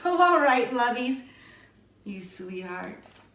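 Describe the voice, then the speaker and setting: Woman as high-voiced doting old auntie, roomy sound. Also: big pitch swings, takes it slowly, fairly bright tone, heavily acted. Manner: doting, exclaiming. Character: fond, lively elderly Irish great-aunts in storybook. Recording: echoey room, distant microphone.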